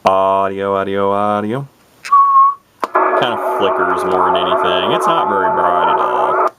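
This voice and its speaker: A man's voice: sits low, measured pace. A plain-spoken, folksy man giving a rambling, casual, unscripted demonstration of equipment as a hobbyist. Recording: very noisy, radio static. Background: CB radio receiver noise.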